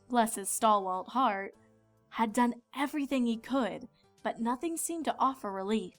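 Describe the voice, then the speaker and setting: A woman reading scripted narration as a storyteller. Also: fairly high pitch.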